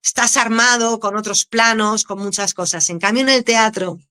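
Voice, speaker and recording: A woman, an actress, speaking with a Canarian accent, answering interview questions in a candid, expressive way and laughing easily. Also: a little high, moderate pace. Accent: Canarian Spanish. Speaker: actress, guest.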